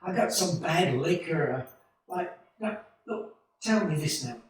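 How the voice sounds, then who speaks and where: Frantic, hot-tempered family man male actor for a vintage radio play; high pitch, distant in an echoey room. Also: expressive, a little slow. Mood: confused, pleading. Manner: agitated, stammering, insistent. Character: desperate, agitated American family man, bewildered and hot-tempered. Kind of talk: 1940s-style radio play acting.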